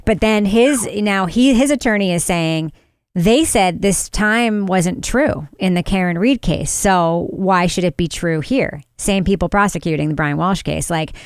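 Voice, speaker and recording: A woman hosting a casual true-crime podcast chat, animated and quick to agree, laughing often. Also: mid-range voice, clear, resonant tone, no echo, clean studio sound. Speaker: podcast host.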